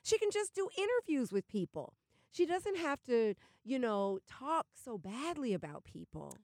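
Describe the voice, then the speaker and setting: A woman's voice: high voice, sing-song, moderate pace, a little mellow, no echo, studio quality. A woman co-hosting a casual talk show with a cold, earnest and opinionated. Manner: earnest, opinionated, laughs easily. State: has a cold. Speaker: co-host. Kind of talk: casual chat.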